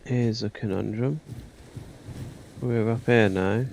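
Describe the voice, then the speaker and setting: A man with a lowish, gravelly voice gives casual gameplay commentary, half talking to himself. Faint watery game ambience runs behind him. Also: steady tone, slow, a little mellow, a little hiss or hum. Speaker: gamer commentating on a playthrough. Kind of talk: casual let's play commentary.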